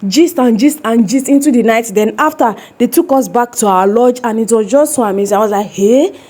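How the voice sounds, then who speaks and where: A young woman with a Nigerian accent, telling stories to her vlog viewers in a lively way. Her voice sits a little high, and her phrasing is plainly Nigerian English. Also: brisk. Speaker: vlogger. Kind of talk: casual reaction vlog.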